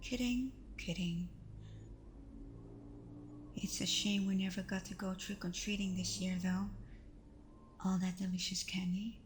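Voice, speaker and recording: A woman playing a tender, playful vampire girlfriend in an intimate ASMR roleplay, speaking softly and often slipping into whispers with hesitant pauses. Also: medium pitch, fairly even intonation, a little hiss or hum, close to an everyday voice. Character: tender female vampire girlfriend, reassuring, playful, a little vulnerable.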